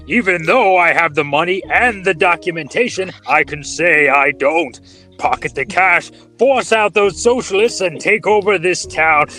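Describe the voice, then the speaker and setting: A man's voice: sits high, pushed and bright, full character voice. A man playing a greedy, sneaky old banker villain in a comic radio play, drawling and gloating.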